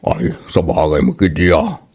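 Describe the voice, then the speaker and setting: A man's voice: a bass voice, expressive, measured pace, a little mellow, slightly rough, heavily acted. A man playing a sceptical, disapproving older villager in an Ilocano comedy drama, grumbling and admonishing.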